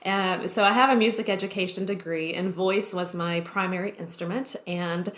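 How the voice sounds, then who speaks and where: A woman's voice: on the low side, steady tone, moderate pace. A woman in her forties, a vocal coach, talking about her work in a chatty way with laughter and frequent restarts. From Taiwan. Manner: warm, chatty, laughs often, many restarts. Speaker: vocal coach, podcast guest. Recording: roomy echo.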